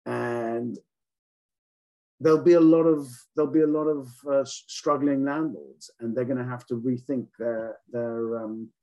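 A man's voice: mid-range voice, fairly even intonation, dark tone. A man who grew up in England, talking casually as an interview guest about leasing offices, with frequent ums and restarts. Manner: halting, many ums and restarts. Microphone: call audio.

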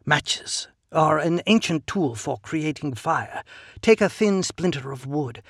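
A man's voice: a little high, lively intonation, slow pace, gravelly, fairly bright tone, no room sound, studio quality, voice pushed up, exaggerated voice. A man voicing a stern female sergeant who speaks in a curt, authoritative way. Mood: explaining.

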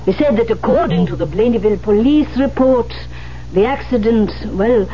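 A woman's voice: fairly high pitch, lively intonation, close to an everyday voice. An actress playing a bossy, coaxing older woman who mentors a pianist in a 1970s radio drama, speaking with insistent emphasis. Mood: hesitant, careful. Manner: insistent, emphatic, halting when delicate. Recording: dull vintage radio recording, faint hum.

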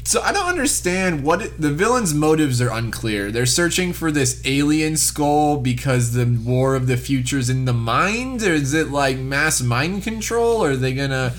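Guy hosting a movie podcast, rambly and a bit higher voiced, faint noise behind. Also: very animated, normal speed, hardly any pauses. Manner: rambling, full of likes and self-interruptions. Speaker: podcast host. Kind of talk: casual movie-review chat.

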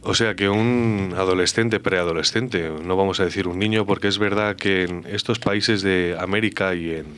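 A man's voice: low voice, fairly even intonation, normal speed, hardly any pauses, a bit bright. A man interviewing a guest, asking probing questions with frequent hesitations and filler sounds.

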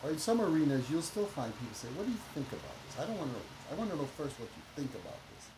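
A man's voice: a little high, very animated, rapid-fire. A man, a minister and educator, talking as a podcast guest in an emphatic, preachy way, full of "you know" fillers. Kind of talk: conversational podcast interview. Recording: echoey room with background noise.

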